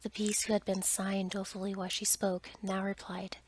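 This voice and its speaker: A woman narrating a bedtime story as ASMR in a breathy whisper. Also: mid-range voice, flat delivery, fairly quick, slight background noise.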